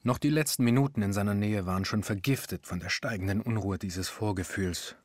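An actor narrating a literary radio drama in German in a measured, sober way. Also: male voice, fairly low pitch, no echo, close to an everyday voice.

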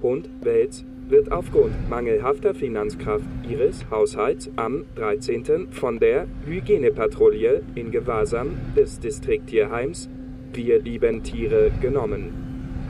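An officious delivery drone voiced by a man, with a medium pitch. It reels off bureaucratic announcements formally and impersonally, as in a sci-fi radio play. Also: unhurried, lightly acted. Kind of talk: scripted radio drama.

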